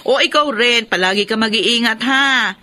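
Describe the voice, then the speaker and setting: A woman's voice: a little high, moderate pace. A woman playing a hardworking, sensible wife and mother in a Filipino radio drama, practical and caring. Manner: warm-hearted, practical.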